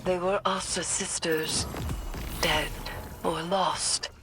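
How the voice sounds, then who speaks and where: An actress playing a sombre mystic in a video game, speaking gravely and deliberately. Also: female voice, lowish voice, steady tone, over music. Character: sombre female mystic recalling the dead. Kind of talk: video game dialogue acting.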